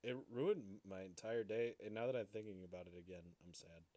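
A man's voice: on the low side, brisk, warm tone. A young adult man co-hosting a podcast in casual, crude, profane banter. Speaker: podcast co-host.

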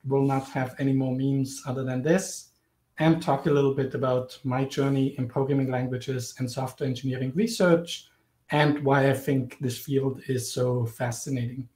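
A man in his forties from Austria with an Austrian accent, giving a clear, lightly humorous guest lecture over a video call. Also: mid-range voice, fairly even intonation, moderate pace, a little mellow. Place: indoors, home or office, virtual background. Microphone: video call webcam. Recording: roomy video call audio.

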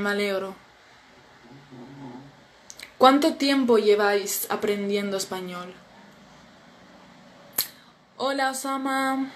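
A young woman from with a slightly high voice, chatting casually into a phone at arm's length. She reads comments aloud and reacts in a friendly, drowsy way. Faint noise sits under the small room sound. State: sleepy. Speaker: Spanish teacher hosting a live stream. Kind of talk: casual live-stream chat reading viewer comments. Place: small indoor room at a table. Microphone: phone camera at arm's length.

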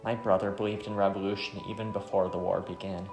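Man reading as a creaky-voiced grieving woman archer. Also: low voice, flat delivery, fast talker. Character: grieving, determined young woman archer from war-torn homeland. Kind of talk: visual novel dialogue read aloud. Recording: roomy sound, faint music.

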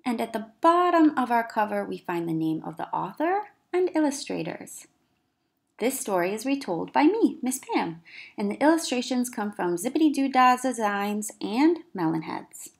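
Higher-voiced woman reading to children. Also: moderate pace, gravelly. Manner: expressive, careful emphasis for young listeners. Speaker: children's storyteller, teacher. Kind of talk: children's read-aloud narration.

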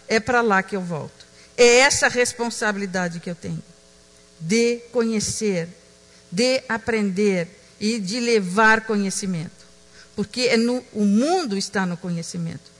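A woman giving a reflective talk on stage, slow and emphatic, dropping to a hush on key words. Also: mid-range voice, in a large hall. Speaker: speaker.

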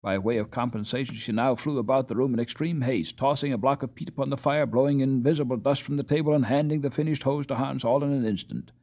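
A man narrating a storybook as an audiobook reader, in an expressive scripted style. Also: mid-range voice, quick pace, hardly any pauses.

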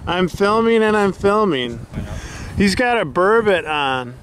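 A man chatting casually and excitedly as he films an outdoor fishing vlog on a camera microphone. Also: high voice, measured pace. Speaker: fishing vlogger behind the camera. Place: outdoors on a snowy waterfront pier. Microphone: handheld camera microphone. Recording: noisy outdoor camera audio.

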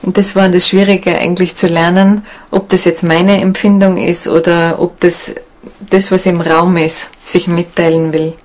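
A woman talking reflectively in a documentary interview, halting and pausing to find her words. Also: on the low side, flat delivery, darkish tone, a little hiss or hum. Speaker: shamanic seminar leader and interviewee. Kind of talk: documentary interview, unscripted talk.

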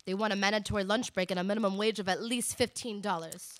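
A young woman playing a suspicious, businesslike wife with a brisk, accusing manner in a comic radio soap parody. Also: mid-range voice, fairly even intonation, natural voice. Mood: exasperated. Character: suspicious, businesslike middle-aged wife, Mrs. Claus. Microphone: stand microphone in small radio studio.

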